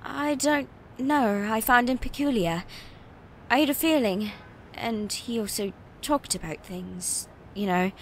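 A woman's voice: on the high side, a little slow, slight background noise. An actress playing an eager, nervous young female reporter in an audio drama, chatty and hesitant. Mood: uneasy, hesitant. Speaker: voice actor.

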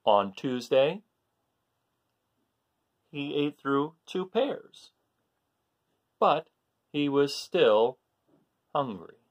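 A middle-aged man reading a children's storybook aloud as host, in short deliberate phrases with expressive emphasis on key words. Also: medium pitch. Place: small room at home, indoors.

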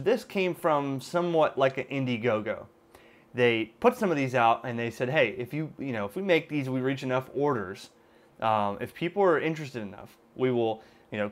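A man with a mid-pitched voice talks casually through a product demonstration. His explanations are informal and conversational, often hedged, like someone thinking aloud at his desk. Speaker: amateur radio video host and product reviewer. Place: indoors at a computer desk.

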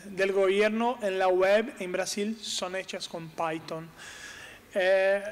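Brazilian man in his thirties, conference speaker, high smooth voice, halting delivery with fillers, desk mic in a big reverberant hall. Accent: Brazilian Portuguese. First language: Portuguese. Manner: halting, searches for words with many fillers. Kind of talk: conference talk. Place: large hall with brick walls, on stage at a table. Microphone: desk microphone on stand.

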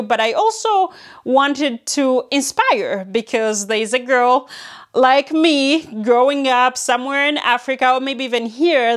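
Woman from uganda vlogging from her closet, bit high voice, chatty, lots of likes and restarts. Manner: chatty, animated hand gestures, many likes and restarts. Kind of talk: casual talk to camera. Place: large walk-in closet at home, indoors. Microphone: seated, medium framing to camera.

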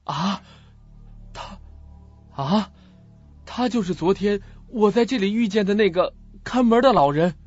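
Man acting as a troubled young student, high lively voice, protective, audio drama, music behind. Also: unhurried, long pauses. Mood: troubled, brooding. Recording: dull lo-fi recording with music.

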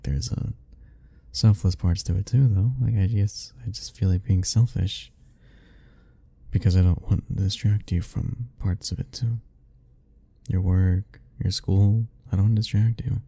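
A young man softly speaking a tender, hesitant ASMR roleplay, musing aloud. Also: deep voice, steady tone, unhurried, creaky, breathy edge. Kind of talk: soft-spoken ASMR roleplay.

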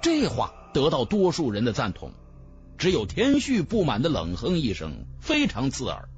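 A man narrating a fantasy novel in a dramatic, expressive storytelling manner. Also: mid-range voice, normal speed, dull audio. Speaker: audiobook narrator. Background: background music.

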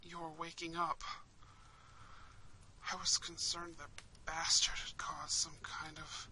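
A woman's voice: low-pitched, slow, a little hiss or hum, voice pushed down, heavily acted. A woman voicing a kind, protective young prince in an intimate roleplay, tender and dramatic. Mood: concerned, soothing. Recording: steady rain and fireplace ambience.

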